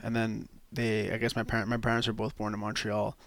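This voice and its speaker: A young man from Quebec, a hockey player guest, chatting casually in a relaxed, rambling way with lots of fillers. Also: on the low side, fairly quick, vocal fry, dry recording. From Quebec, Canada. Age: young adult. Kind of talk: casual interview chat.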